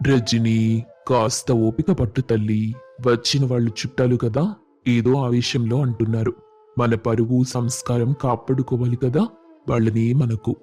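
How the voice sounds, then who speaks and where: A man's voice: mid-range voice, measured pace, faint music behind, lightly acted. A man playing a patient, placating older head of a household in a family story. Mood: calming. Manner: calm, conciliatory.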